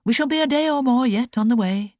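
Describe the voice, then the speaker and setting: A woman voicing a stern, no-nonsense aunt in an audiobook, crisp and commanding in manner. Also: on the high side, brisk, a little mellow, dry recording, voice pushed up, lightly acted. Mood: brisk. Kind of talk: audiobook character voice. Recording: dry close recording.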